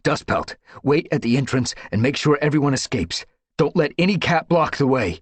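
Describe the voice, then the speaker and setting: A commanding tomcat leader in a storybook, barking out orders to his warriors, as a man puts him on. The voice is raised a little above the narrator's own, husky, with a dry close sound. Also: lowish voice, expressive, a little fast, dry recording, voice pushed up.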